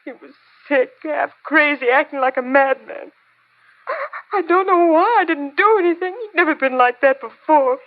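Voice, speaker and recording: A woman's voice: sits high, moderate pace, lightly acted. An American woman acting a poor, abandoned mother in an old radio drama, weary and bitter, with an emotional, melodramatic delivery. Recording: tinny, narrow-band old radio recording.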